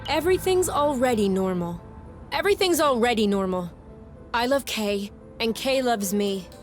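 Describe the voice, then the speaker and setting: An earnest young woman in love, as an actress plays her, with a fairly high voice and a direct, stubborn manner. Clear music runs behind this game dialogue. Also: lively intonation, moderate pace, close to an everyday voice. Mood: defiant, earnest. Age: young adult. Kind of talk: video game dramatic voice acting.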